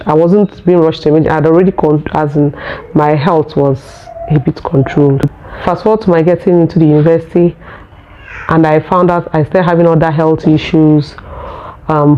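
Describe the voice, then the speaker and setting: Earnest Nigerian woman, slightly muffled. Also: sits low. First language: Nigerian local language. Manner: earnest, unhurried, searching for words. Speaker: vlogger. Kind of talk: personal story vlog.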